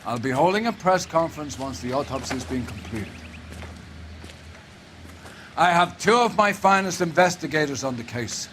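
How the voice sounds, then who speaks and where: A man's voice: a little high, animated, normal speed, pauses often, a bit bright, a little hiss or hum. A man playing a pompous police captain in a 1940s crime game, speaking in grand, preachy oratory. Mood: grandiose. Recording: music behind the voice.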